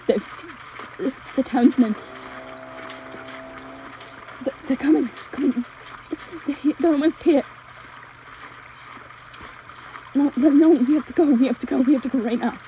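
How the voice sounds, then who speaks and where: Woman acting desperate, high-voiced young heroine, mellow tone. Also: natural voice. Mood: urgent, panicked. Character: desperate, tender young woman fleeing townsmen with her lover. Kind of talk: amateur audio drama acting, old-fashioned romance. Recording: noisy ambience sound effects behind voice. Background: forest, stream and dungeon ambience effects.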